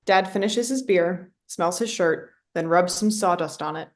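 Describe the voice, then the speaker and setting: A woman's voice: on the low side, a little slow. A woman reading scripted stage directions as the narrator of a play reading.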